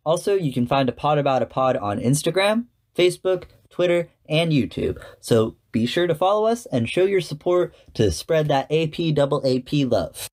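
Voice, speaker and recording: A man hosting a podcast, reading scripted commentary in a measured, articulate presenter's manner. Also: fairly high pitch, expressive, a little mellow. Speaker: podcast host.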